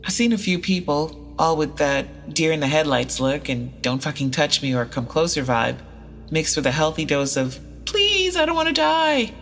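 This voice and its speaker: An actress playing a sardonic, foul-mouthed traveler stranded in an apocalypse, confiding in a comedic audio drama monologue. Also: female voice, low-pitched, expressive, normal speed, faint music behind.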